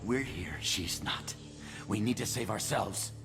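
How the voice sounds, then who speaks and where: An actor playing an irritable, defensive medical technician in a video game, argumentative and self-preserving. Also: male voice, on the low side, unhurried, vocal fry, big-room sound, music in the background. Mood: defensive, agitated. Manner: testy, argumentative. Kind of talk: dramatic video game dialogue.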